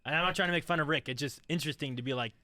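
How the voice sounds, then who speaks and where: A man's voice: fairly high pitch, very fast, pushed and bright, no echo. A man co-hosting a podcast in a studio, musing aloud in casual chat with many restarts and fillers. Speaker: podcast co-host.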